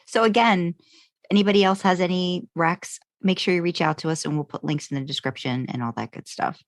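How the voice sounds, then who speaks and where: A woman's voice: on the low side, a little fast, no echo. A woman co-hosting a podcast, talking casually and feeling her way through her thoughts as she goes. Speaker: podcast co-host. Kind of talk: casual fan discussion chat.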